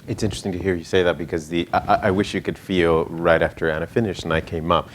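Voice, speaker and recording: A man with an extremely deep voice moderates a live discussion. He talks in a halting, searching way with repeated words, and there is faint noise behind him. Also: fairly even intonation. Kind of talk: hosting a live conversation.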